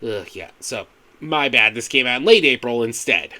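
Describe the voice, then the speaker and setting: Animated man, bright voice, distant mic. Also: medium pitch, moderate pace. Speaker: host of a video countdown show. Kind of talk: scripted video commentary with jokey asides.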